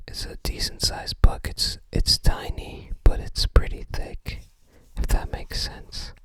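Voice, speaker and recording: A soft, breathy whisper carries this ASMR creator's voice throughout. The delivery is very slow and musing, as the person thinks aloud while leafing through and showing something to the listener. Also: poor audio. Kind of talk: ASMR show-and-tell of a book.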